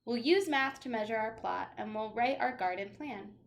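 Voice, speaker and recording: A woman's voice: on the high side, lively intonation, normal speed, creaky. A woman voicing an encouraging, knowing schoolteacher with playful humor and emphatic delivery in a storybook reading. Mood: enthusiastic.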